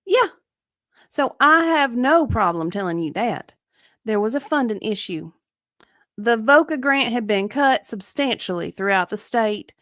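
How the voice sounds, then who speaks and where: High-voiced, evasive witness played by a woman. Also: lively intonation, a little slow, fairly bright tone, no echo, studio quality. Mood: earnest. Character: evasive, defensive female victim advocate being deposed. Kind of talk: dramatic deposition reading.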